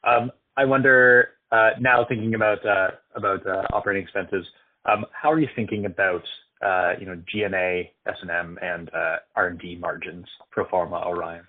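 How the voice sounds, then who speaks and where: Analyst guy asking questions on an earnings call, low voice, kinda tentative and halting, tinny phone audio. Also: fairly even intonation. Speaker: financial analyst. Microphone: conference call line. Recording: thin phone-like conference call line.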